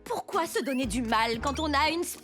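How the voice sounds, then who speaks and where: A theatrical, scheming cartoon pastry bandit. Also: female voice, sits high, expressive, normal speed, a bit bright. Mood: gloating. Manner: theatrical, grumbling and gloating. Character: lazy, scheming pastry bandit craving effortless success. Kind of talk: cartoon dub acting. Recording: music behind the voice.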